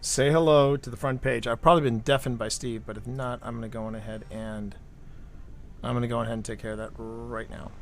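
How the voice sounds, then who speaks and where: A man's voice: mid-range voice, steady tone, fairly quick. Rambling male stream host. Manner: rambling storyteller, repeats words often. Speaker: livestream host. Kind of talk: casual chat.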